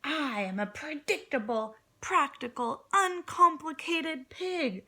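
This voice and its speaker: A woman voicing a fussy, easily angered and panicky male pig in emphatic, exclamatory yells. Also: high voice, slow, voice pushed up, heavily acted. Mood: angry, indignant.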